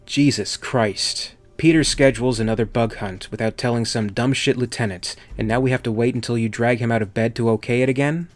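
Man voicing a gruff military captain. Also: medium pitch, a little slow, soft background music, natural voice. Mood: impatient, scornful. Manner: curt, scornful. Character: gruff, impatient male military captain and pilot. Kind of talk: audiobook character dialogue.

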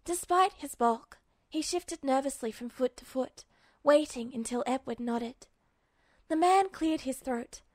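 High-voiced woman narrating fiction. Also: unhurried, dry recording. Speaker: audiobook narrator.

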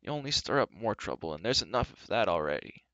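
A man's voice: on the low side, very animated, fast talker, sharp tone, no echo, muffled recording. A man voicing a gloomy, nervous fair-folk creature in a storybook reading, moaning, dithering and full of self-pity. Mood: falsely obliging.

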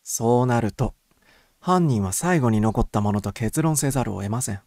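A methodical gentleman sleuth, played by a male narrator, with a mid-pitched voice in a dry recording. He is polite and orderly, explaining step by step in a storybook mystery. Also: lively intonation, moderate pace, dry recording, lightly acted. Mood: reasoning, confident. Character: methodical gentleman playing amateur detective.

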